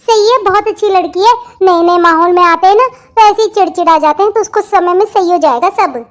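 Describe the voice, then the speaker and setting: A performer plays a gossipy young sister-in-law in a family cartoon. The pitch is extremely high, and the manner is chatty, probing and smoothly placating. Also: fairly quick. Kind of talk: Hindi family comedy cartoon dialogue.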